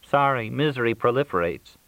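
A man's voice: medium pitch, lively intonation, brisk, a bit bright, no echo, pitched above the performer's own voice, low-quality recording. A man voicing a plaintive, needy, hungry woman in audiobook dialogue.

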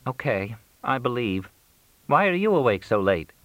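A man's voice: mid-range voice, very animated, normal speed, no room sound, muffled recording, pitched above the performer's own voice, natural voice. A man voicing a plaintive, needy, hungry woman in audiobook dialogue.

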